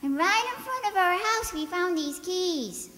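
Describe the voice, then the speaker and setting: A woman's voice: extremely high pitch, animated, measured pace, bright, forceful tone, full character voice. A woman playing a cheeky, earnest little neighbor girl with childish phrasing in an old-time radio comedy. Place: live stage in a hall. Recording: echoey hall, distant stage sound.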